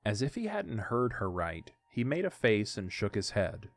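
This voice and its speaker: A man narrates an audiodrama from a script. His voice sits fairly low, and soft music plays behind the story. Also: big pitch swings, normal speed.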